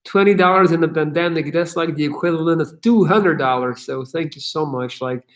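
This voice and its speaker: A man hosts a casual livestream question session with a slightly high voice. He talks loosely and energetically, swears freely and fills his speech with "like" and "um". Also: fairly quick. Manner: casual, profane, energetic, with many fillers. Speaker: channel host answering viewer questions.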